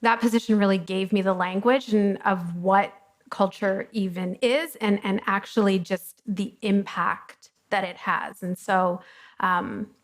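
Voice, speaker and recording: Candid chatty woman guest. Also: mid-range voice. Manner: chatty and candid, with frequent ums. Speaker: guest, company co-founder. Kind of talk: casual conversational interview. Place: coworking studio, fireside chat before an audience.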